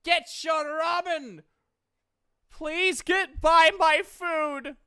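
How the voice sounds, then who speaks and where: A man playing a festival food vendor in a tabletop game, hawking wares with loud, drawn-out calls. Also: very high-pitched, steady tone, voice pushed up, heavily acted. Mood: eager hawking.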